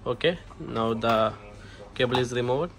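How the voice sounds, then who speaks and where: A man who is not a native English speaker gives a hands-on setup walkthrough in short, halting phrases with frequent okays. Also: mid-range voice. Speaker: tutorial presenter, technician. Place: indoor shop or office. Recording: noisy room, clear background noise.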